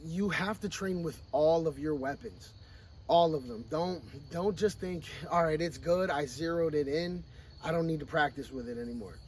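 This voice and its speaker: A man who is sick and hoarse, talking casually and bluntly to camera outdoors at a shooting range about training. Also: fairly high pitch, moderate pace, a little hiss or hum. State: sick, losing his voice. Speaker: firearms training vlogger.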